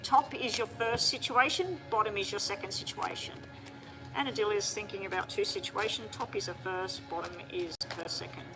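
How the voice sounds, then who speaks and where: A woman doing a live-streamed tarot reading, talking straight to viewers in a chatty, hurried way. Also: a little high, rough recording. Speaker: tarot reader.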